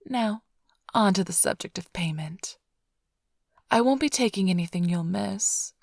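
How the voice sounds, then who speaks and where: A woman playing a flirtatious, smug vampire thief in an intimate ASMR roleplay, teasing and sly in a breathy, often whispered voice. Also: medium pitch, a little slow, darkish tone, no room sound, studio quality. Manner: teasing, purring, theatrically sly. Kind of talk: ASMR roleplay, intimate close address to listener.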